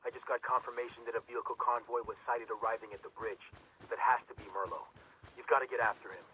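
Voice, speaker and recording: A resolute military handler, played by a man gives an intense mission briefing in a video game. The voice is very bright and forceful at a medium pitch, with faint noise behind. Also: fairly even intonation, quick pace, close to an everyday voice, poor audio. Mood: worried, urgent.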